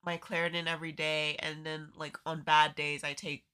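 Woman co-host, stuffy nose, casual chatty delivery, a bright forceful tone. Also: low voice, flat delivery, normal speed, clean tone. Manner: laughs often, chatty. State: congested from allergies. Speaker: podcast co-host. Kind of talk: casual chat.

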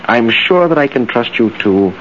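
An actor playing a smug, silky blackmailer with a suave, mock-friendly manner, in an old radio drama. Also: male voice, mid-range voice, animated, normal speed, a bit bright, natural voice. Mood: smug, genial. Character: smug, silky male blackmailer posing as business partner. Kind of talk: 1940s radio drama acting. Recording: muffled, hissy old radio recording.

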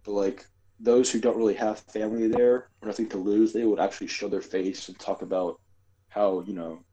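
A man's voice: lowish voice, steady tone, a little fast, mellow, lo-fi sound. A man co-hosting a podcast, talking casually in a rambling way with lots of fillers and hedges. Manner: rambling, filler-heavy, hedging. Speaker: podcast co-host. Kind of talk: casual chat.

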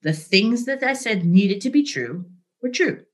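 A middle-aged woman speaking as an interview guest, telling candid work stories in a lively, conversational way, often asking "right?". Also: mid-range voice, measured pace. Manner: animated, frequent "right?" and "like", laughs easily. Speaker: interview guest, business leader.

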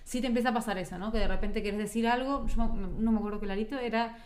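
A woman's voice: fairly high pitch, steady tone, rapid-fire, darkish tone. A woman from Uruguay with a Uruguayan accent, talking casually as an interview guest. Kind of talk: casual chat.

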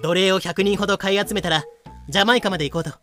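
Man acting sly pirate captain, audio drama, bright tone, polite, glib, humble merchant act, faint music. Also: high-pitched, fairly even intonation, racing. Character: smooth-talking pirate captain posing as humble merchant, male. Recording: music bed behind voice.

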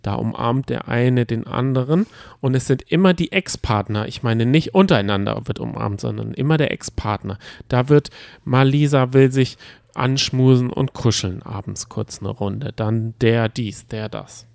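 A man talks casually as a podcast co-host, wry and teasing in everyday language. His pitch is mid-range for a male voice, and the recording is dry with little room sound. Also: moderate pace, gravelly, a little mellow, dry recording. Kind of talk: casual chat about a reality show.

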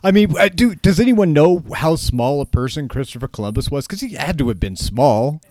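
Animated American man in casual chat. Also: a little high, a little fast, no echo, studio quality. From Utah, United States. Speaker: podcast co-host.

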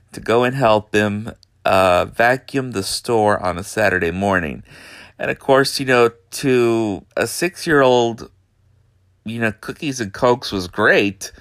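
A man from Texas, hosting a podcast from his bedroom in an unhurried, hesitant way with lots of fillers. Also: fairly low pitch, a bit bright, clear, resonant tone, dry recording, studio quality. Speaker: podcast host. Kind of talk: casual monologue.

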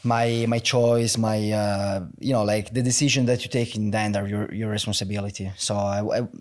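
A man's voice: lowish voice, flat delivery, darkish tone. A young man with an Italian accent, speaking as a guest in a candid, reflective conversation, often restarting his sentences. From Italy. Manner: halting, many restarts and "like" fillers, open and reflective.

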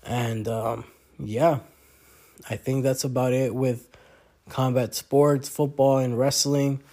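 Young man, solo podcast host, congested, casual and rambling, average pitch. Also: flat delivery, takes it slowly, warm tone. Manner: rambling, many fillers and restarts.

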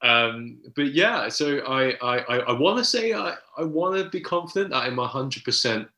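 Bright-toned young British man in a roomy room. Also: medium pitch, lively intonation, normal speed. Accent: British English. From Hong Kong. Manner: self-deprecating, articulate, thoughtful. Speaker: guest, creative industry worker. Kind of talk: casual remote interview. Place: room at home.

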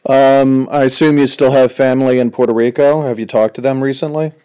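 A man conducting a casual interview, speaking in a hesitant, pausing way. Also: medium pitch, fairly even intonation, warm tone, clean tone, muffled recording.

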